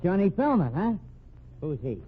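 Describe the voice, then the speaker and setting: An actor playing a tough, sardonic homicide detective in a radio mystery, brusque, slangy and hard-boiled. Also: male voice, sits high, big pitch swings, normal speed, breathy edge, heavily acted. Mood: exasperated. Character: tough, sardonic, bullying male homicide investigator. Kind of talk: old-time radio mystery acting. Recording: dull, faintly noisy old radio recording.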